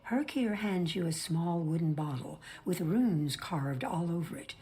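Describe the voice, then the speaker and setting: A woman's voice: fairly low pitch, expressive, a little slow, warm tone. A woman reading scripted game narration.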